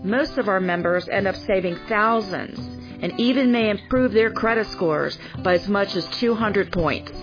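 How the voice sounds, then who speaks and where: A woman's voice: mid-range voice, moderate pace. A woman debt counselor giving a sincere radio ad testimonial. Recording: lo-fi radio ad with music bed.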